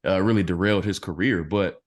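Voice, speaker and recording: Low deep voiced guy talking draft picks, bit hoarse, steady and analytical. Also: quick pace. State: losing his voice, clearing throat. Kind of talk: casual podcast interview.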